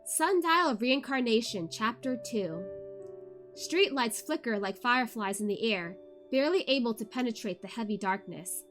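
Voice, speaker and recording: A woman hosting a read-along livestream in an informal, expressive way, with music in the background. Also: a little high, normal speed. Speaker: VTuber host of a book read-along. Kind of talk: casual chatty livestream; expressive audiobook-style narration of a novel.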